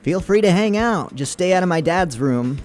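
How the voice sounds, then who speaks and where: A man voicing an eager, friendly young house party host in a game readalong, welcoming and excitable. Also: high voice, normal speed, voice pushed up. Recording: music behind the voice.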